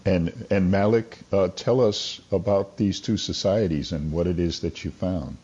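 Male radio host, low mellow voice with a husky edge, interviewing guests. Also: measured pace, dull audio. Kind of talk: live radio interview hosting.

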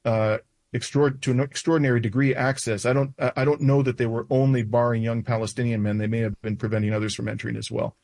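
A man in his sixties with a North American accent recounts events in an interview, pausing often with uhs and restarts. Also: medium pitch, a little mellow, dry recording. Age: 60s. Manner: halting, with frequent uhs and restarts. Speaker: interview guest.

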